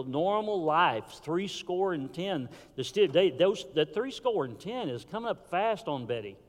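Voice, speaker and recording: A high-voiced man delivers a sermon from a church pulpit, his voice ringing out with a long hall reverb. He preaches with fervor, then drops suddenly to a near-hush. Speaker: pastor. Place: church sanctuary.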